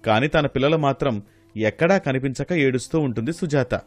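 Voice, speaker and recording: A man's voice: medium pitch, fairly quick, dry recording, faint music behind. A man reading scripted narration for a moral story.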